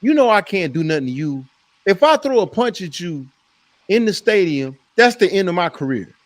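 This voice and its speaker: A man's voice: high-pitched, sing-song, moderate pace, no echo. An American man hosting a sports debate, making his case emphatically and repeating phrases for effect. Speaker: host of a sports debate show.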